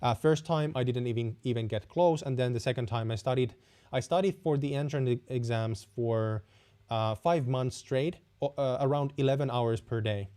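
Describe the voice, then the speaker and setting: A man's voice: medium pitch, moderate pace, dark tone. A man from Finland, hosting a live-stream Q&A and giving frank, blunt advice with frequent fillers and restarts. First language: Finnish. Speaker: host answering viewer questions.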